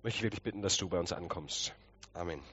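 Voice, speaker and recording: A man's voice: low voice, fast, sharp tone, husky, lo-fi sound. A man with a slight cold, preaching conversationally and explaining with rhetorical questions. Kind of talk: conversational sermon.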